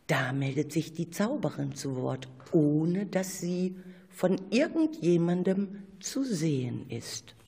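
A narrator telling a children's radio play, addressing listeners conversationally in a husky voice. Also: mid-range voice, sing-song, slow pace, warm tone.

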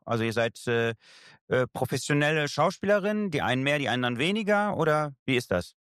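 A man's voice: fairly high pitch, very animated, a little fast, fairly bright tone, clear, resonant tone, no echo, clean studio sound. A man from Germany hosting a casual radio interview, talking hesitantly with many fillers and switching between German and Italian. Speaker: radio host. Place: radio studio.

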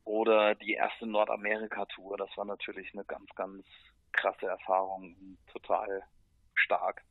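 A man speaking casually and colloquially as a musician in an interview, with hesitant pauses and filler sounds. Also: lowish voice, monotone, creaky, no room sound, narrow, tinny audio. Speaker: metal band musician, interview guest.

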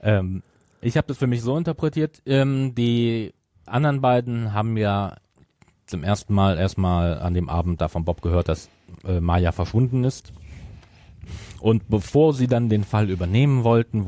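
Measured, low-voiced male podcaster. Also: mellow, dry recording. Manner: conciliatory, measured, concedes points. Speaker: podcast co-host. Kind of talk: casual chat reviewing audio drama.

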